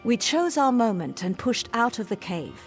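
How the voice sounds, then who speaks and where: An actress playing a composed, dutiful soldier who speaks formally and earnestly in a fantasy video game. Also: female voice, on the high side, big pitch swings, normal speed. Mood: earnest, concerned. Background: faint game music.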